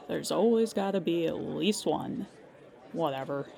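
A woman plays a female emergency hotline operator in an audio comedy drama. She sounds composed and courteous, with a dry, put-upon customer-service manner and a middling pitch. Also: slow pace, creaky, a crowd in the background, heavily acted. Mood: dry, exasperated. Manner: calm customer-service politeness with dry exasperation.

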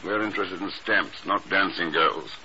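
An actor playing a cool, terse, world-weary secret agent in a 1950s radio drama, speaking dryly and haltingly. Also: male voice, low-pitched, pushed and bright, full character voice. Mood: dry, impatient. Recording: muffled, noisy early-1950s radio recording.

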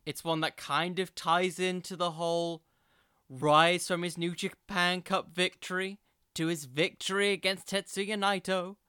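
A man hosting a solo podcast, giving conversational commentary in a musing, halting way with emphatic bursts. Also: a little high, bright, forceful tone, clear, resonant tone, dry recording, studio quality. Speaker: podcast host.

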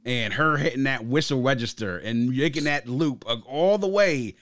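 A man's voice: mid-range voice, expressive, moderate pace, fairly bright tone, no room sound. A man hosting a music podcast, giving casual, opinionated album commentary in an emphatic, slangy way. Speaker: podcast host reviewing music.

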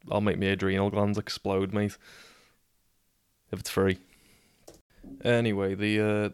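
Scouse podcast host in his twenties, deadpan monotone, crisp dry close sound. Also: low voice, no room sound. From Liverpool, England. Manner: monotone, sweary, trips over words. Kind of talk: casual solo podcast storytelling.

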